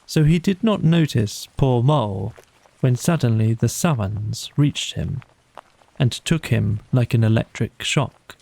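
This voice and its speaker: Casting: male bedtime audiobook narrator, very unhurried and soothing, dark mellow tone, close dry sound. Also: medium pitch, lively intonation, creaky, dry recording. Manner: measured, soothing, deliberate phrasing. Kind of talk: slow bedtime storybook narration.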